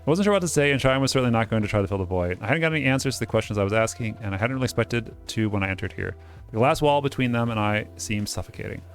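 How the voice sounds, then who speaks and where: A man with a mid-pitched voice reads game text aloud at a quick clip. He drops casual, sardonic asides into the reading, with faint music behind him. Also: expressive. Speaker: host of a game playthrough.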